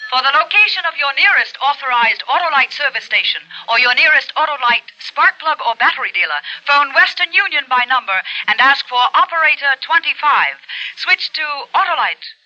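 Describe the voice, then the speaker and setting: A woman's voice: high voice, very animated, brisk, sharp tone, highs cut off. A woman reading the closing tag of a 1950s radio commercial in a cheerful, sing-song sales voice.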